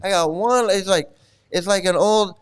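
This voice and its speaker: Middle-aged guy ranting, swears a lot, sneering, kinda high voice, smooth and clear. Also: normal speed. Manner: profane, scornful, repeats words. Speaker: commentary channel host. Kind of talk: casual ranting commentary.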